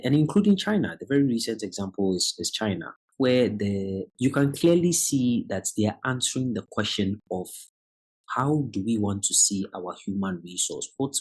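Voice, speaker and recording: Husky-voiced man, reflective and halting. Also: on the low side, expressive, a little mellow. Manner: reflective, restarts phrases, rising emphasis on key words. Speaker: guest educator. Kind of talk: podcast conversation.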